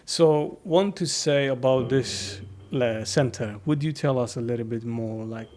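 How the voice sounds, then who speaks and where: Man, podcast host, middle pitch, friendly, laughs often, loose phrasing, office. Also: a little slow, darkish tone. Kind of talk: casual podcast interview.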